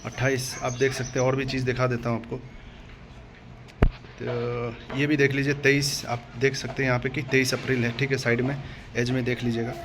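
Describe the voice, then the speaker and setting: Indian man, even-toned, casual video update. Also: mid-range voice, a little fast, noisy background. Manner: repetitive verbal tags seeking agreement. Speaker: exam-update YouTube host. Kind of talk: casual direct address to viewers.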